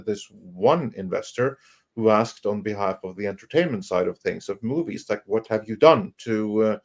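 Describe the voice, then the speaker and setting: Low-voiced man giving critical commentary. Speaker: panelist giving financial commentary. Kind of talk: live panel commentary.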